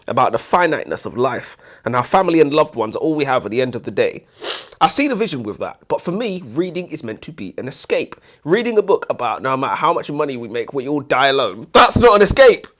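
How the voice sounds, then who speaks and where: A man's voice: mid-range voice, very animated, fast talker, a bit bright. A British man bantering casually as a book review co-host, blunt, opinionated and jokey.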